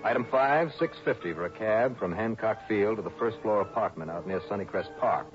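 An actor playing a hard-boiled, suspicious insurance investigator in a 1960s radio drama, with a clipped, sardonic delivery. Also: male voice, lowish voice, a little fast, natural voice. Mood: wry, matter-of-fact. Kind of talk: 1960s radio detective acting. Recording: muffled old radio broadcast, music behind.

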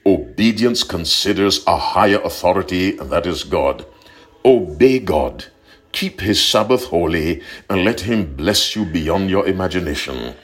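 A man giving a short broadcast sermon, earnest and deliberate, repeating key points for emphasis. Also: deep voice, lively intonation. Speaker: preacher.

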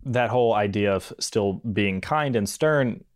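A man's voice: on the low side, a little slow, clear, resonant tone, no room sound, clean studio sound. A man hosting a casual interview, agreeable and talking through his ideas with frequent "like"s. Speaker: podcast host.

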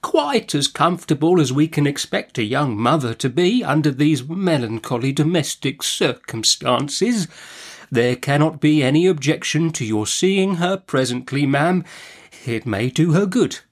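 A man voicing a mild, timid, easily flustered doctor in a storybook, with a soft, hesitant and deferential delivery. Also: a little high, expressive, measured pace, pitched above the performer's own voice. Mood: meek, careful.

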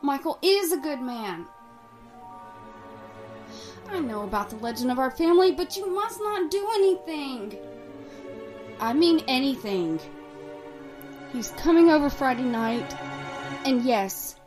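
An actress playing a secretive young woman from a cursed family, guarded and hushed. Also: high-pitched. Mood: pleading. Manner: hushed, guarded, then pleading. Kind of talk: audio drama acting.

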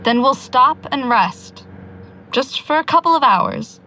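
Wry, animated young traveler. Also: female voice, high-pitched, measured pace, full character voice. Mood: concerned. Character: wry, caring young woman on a tense road trip. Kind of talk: naturalistic audio drama acting. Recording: clear vehicle and ambient noise behind.

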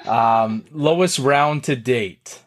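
A young adult man with a Canadian accent, hosting a casual interview and asking quick-fire questions in a friendly, rambling way. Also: medium pitch. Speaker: podcast host.

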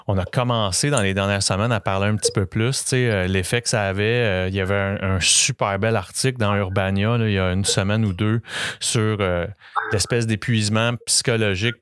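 Man, Quebec French accent, podcast host, very deep voice, smooth, dry close sound, casual, halting. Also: a bit bright, no echo. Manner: hesitant, many fillers.

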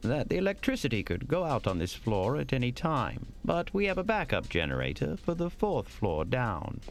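A polite, reluctant hotel employee. His creaky voice swings widely in pitch, an uneasy audio drama character. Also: huge pitch swings, moderate pace. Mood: matter-of-fact. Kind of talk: naturalistic audio drama acting.

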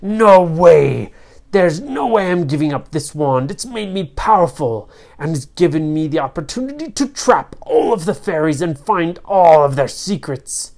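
A woman acts out a tiny evil sorcerer from a children's storybook. The voice is low and drawn out, full of pompous menace. He gloats and boasts theatrically. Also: very animated, measured pace, vocal fry, a little mellow, full character voice. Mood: mocking triumph.

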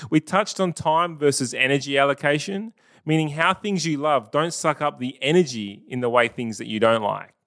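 A man's voice: mid-range voice, creaky, a bit bright. Upbeat man hosting a podcast. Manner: chatty, upbeat, quick interjections. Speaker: podcast host. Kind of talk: podcast interview, casual chat.

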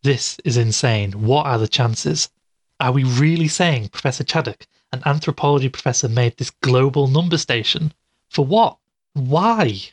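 An actor playing an earnest, curious podcaster investigating a mystery in an audio drama, with a husky voice. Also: male voice, medium pitch, lively intonation, moderate pace, no echo. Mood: incredulous. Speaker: podcast host. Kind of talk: audio drama dialogue and narration.

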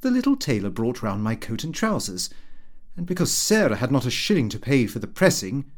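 A man's voice: mid-range voice, big pitch swings, brisk. A man narrating a comic Victorian diary as an audiobook, in a prim, self-important way.

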